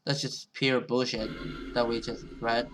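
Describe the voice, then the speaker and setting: A man's voice: mid-range voice, level tone, unhurried, over music, rough recording. A man giving casual, rambling commentary on a game playthrough, reacting and correcting himself as he goes. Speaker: gaming video host. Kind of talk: casual visual novel playthrough, reading aloud with commentary.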